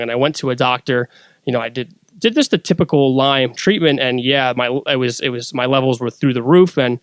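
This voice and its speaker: A man's voice: medium pitch, smooth, dry recording. A man speaking as a podcast guest, casually telling a personal story.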